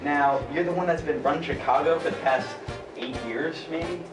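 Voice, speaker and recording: A man's voice: on the high side, moderate pace, lightly acted. A young man playing a casual, probing 1920s news reporter in an amateur mock radio interview. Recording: echoey distant mic under music. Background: instrumental music.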